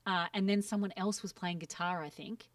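A woman's voice: on the low side, steady tone, normal speed, no echo, distant mic. A woman chatting casually on a podcast, gushing enthusiastically about music she loves. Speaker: guest.

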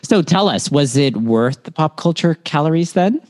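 Lively-pitched man hosting. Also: normal speed. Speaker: podcast host asking questions. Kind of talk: casual chatty hosting.

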